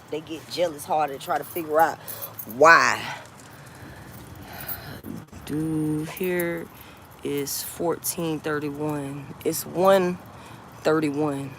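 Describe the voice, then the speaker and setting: A woman in her forties talking live to her viewers from outside on a phone, with a low voice and faint noise around her. She is blunt and repetitive, swearing freely and laughing often. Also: a bit bright. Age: 40s. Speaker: livestreamer. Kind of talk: casual live-stream chat with viewers. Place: outdoors.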